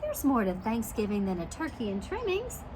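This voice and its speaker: A gentle, wise old grandmother from a storybook, voiced by a woman, speaks in measured phrases. Her voice is on the high side, with noise in the background. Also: huge pitch swings. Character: gentle, wise grandmother leading a family prayer.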